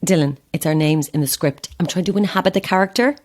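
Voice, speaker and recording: A woman's voice: on the low side, fast, lightly acted. A woman playing an anxious, self-deprecating actress in an audio drama, chatty and wry with a theatrical streak. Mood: deadpan. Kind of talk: audio horror-comedy drama acting.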